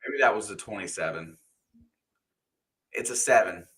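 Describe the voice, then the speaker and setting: A man calling football plays live for a fan stream, firing off each play in quick bursts before slipping back into casual chat. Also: fairly low pitch, lively intonation, a bit bright.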